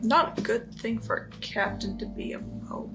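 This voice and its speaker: A woman playing a blunt, wary female traveler who talks in a halting, informal way. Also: a little high, vocal fry, over music, pitched above the performer's own voice, low-quality recording. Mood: uneasy. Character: blunt, wary, hungry female ship passenger.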